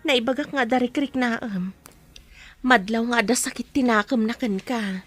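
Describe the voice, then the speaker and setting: A woman's voice: fairly high pitch, moderate pace, fairly bright tone, soft background music. A woman acting a concerned aunt who gives frank counsel in an Ilocano audio drama. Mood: advising. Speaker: actor in a drama.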